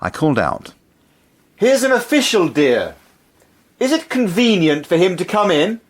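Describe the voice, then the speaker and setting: A man's voice: high-pitched, very animated, unhurried, exaggerated voice. A man acting in a radio drama as a principled, wry English schoolmaster, speaking in a formal, articulate way. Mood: wry narration. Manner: formal, articulate, dryly indignant. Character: principled, wry, earnest schoolmaster resigning his post. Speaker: lead actor and narrator.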